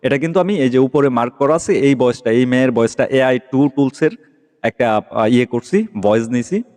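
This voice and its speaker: A man's voice: medium pitch, brisk, darkish tone. Echoey man giving a casual app tutorial. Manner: explanatory, often checks listeners follow. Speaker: tech tutorial host. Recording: long reverberant echo.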